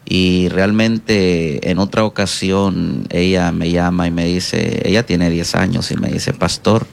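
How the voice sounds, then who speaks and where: Deep, gravelly Honduran man, halting and reflective. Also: fairly even intonation. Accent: Honduran Spanish. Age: middle-aged. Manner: halting, reflective, hesitant pauses. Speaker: guest pastor and counselor. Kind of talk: reflective interview answers.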